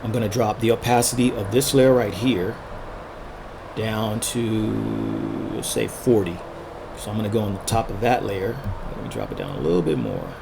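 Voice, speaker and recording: A man casually narrating a drawing tutorial as he sketches, thinking aloud in short unhurried fragments, his voice a little worn from talking. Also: fairly low pitch, lively intonation, creaky, background noise. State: losing his voice, throat-clearing. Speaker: art tutorial host, illustrator.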